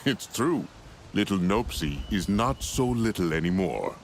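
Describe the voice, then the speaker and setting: Casting: genial older clansman for a game, low, creaky voice with very animated delivery. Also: unhurried, slight background noise, heavily acted. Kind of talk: game character acting.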